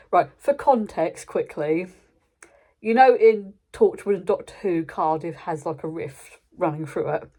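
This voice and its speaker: A woman's voice: medium pitch, a little mellow. A British woman chatting casually and unscripted as a channel host giving a review, in an informal, rambling way, often interrupting herself.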